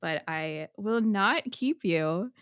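A woman hosting a podcast in casual interview chat, with a quiet voice, chatty and quick to laugh. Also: medium pitch, lively intonation, clear, resonant tone. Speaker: podcast host.

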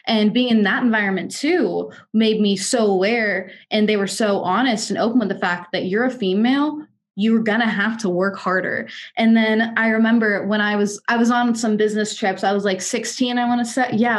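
A woman's voice: mid-range voice, moderate pace. A twenty-year-old woman from Kansas City, a music artist and executive, telling her story in an interview with earnest, articulate emphasis. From Kansas City, United States. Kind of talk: candid conversational storytelling.

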